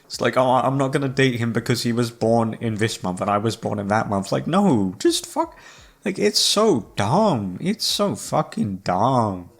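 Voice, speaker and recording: A man's voice: medium pitch, very animated, a little slow, vocal fry. A young man rambling casually to his listeners, with sweary, slangy, self-mocking talk. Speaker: YouTube voice actor and creator. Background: background music.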